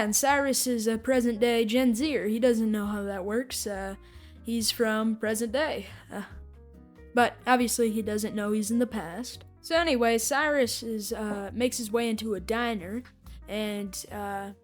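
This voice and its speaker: A woman hosting a podcast with casual review commentary in a halting, hesitant delivery. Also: fairly high pitch, fairly even intonation, soft background music. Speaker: podcast host.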